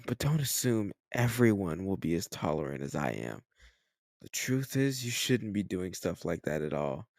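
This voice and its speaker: Male actor as slightly older home tutor for romantic audio roleplay: lowish creaky voice, close dry sound, teasing, patient, encouraging. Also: a little mellow, no echo, full character voice. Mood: earnest, firm. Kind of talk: scripted romantic audio roleplay, addressed to the listener.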